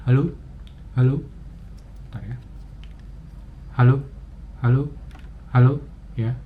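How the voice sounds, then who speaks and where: A man giving a casual step-by-step demonstration, talking in a halting way as he thinks aloud with frequent pauses. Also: medium pitch, steady tone. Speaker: motovlogger giving a gear tutorial. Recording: muffled, dull audio with clear ambient noise.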